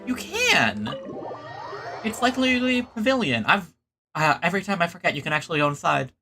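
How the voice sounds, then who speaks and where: A man's voice: high-pitched, big pitch swings, fairly quick, music in the background. A man doing casual live gameplay commentary as a streamer, often muttering little asides to himself. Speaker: game streamer. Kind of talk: casual live gameplay commentary, reading some text aloud.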